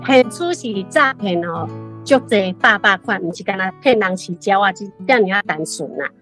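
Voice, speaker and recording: A woman's voice: mid-range voice, expressive, moderate pace, a bit bright, soft background music. A woman with a Taiwanese accent asking an author for advice in a conversational way as a guest. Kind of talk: conversational questioning.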